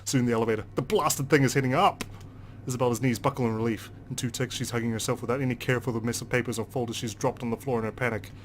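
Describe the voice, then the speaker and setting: A man's voice: medium pitch, steady tone, quick pace, slight background noise. A man hosting a game playthrough, reading narration aloud expressively and dramatically. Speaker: gaming video host. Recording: game music behind the voice.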